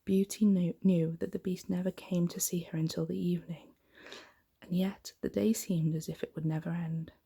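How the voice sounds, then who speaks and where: Female ASMR storyteller: low, mellow, gently creaky voice, soft and hushed bedtime narration. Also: steady tone, normal speed.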